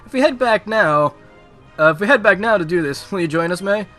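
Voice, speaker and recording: A chummy, teasing teenage boy from a visual novel, played by a man. He sounds excitable and high-pitched, with a bright edge and music playing softly underneath. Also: a little fast. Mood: excited, teasing.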